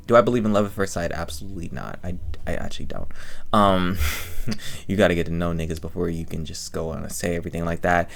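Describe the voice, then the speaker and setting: A man's voice: sits low, vocal fry. A man giving a casual, chatty review commentary as host, rambling and repeating himself in slangy talk. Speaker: reviewer of an audio drama and channel host.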